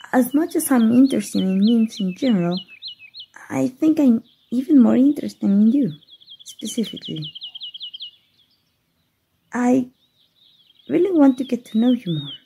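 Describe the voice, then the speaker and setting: A woman's voice: on the high side, gravelly, mellow, slight background noise, exaggerated voice. A woman voice actor voicing a playful, flustered young fairy in an ASMR roleplay, giggling and stammering shyly.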